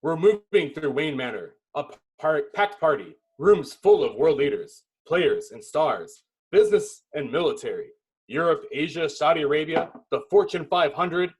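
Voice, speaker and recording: A man's voice: a little high, expressive, normal speed, slightly rough, rough recording. A man giving dramatic scripted narration, reading a film script's stage directions.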